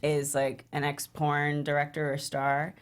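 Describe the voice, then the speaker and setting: A woman's voice: low-pitched, completely flat delivery, measured pace, smooth. A woman giving casual feedback in a panel discussion, thinking aloud as she goes. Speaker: script table read panelist.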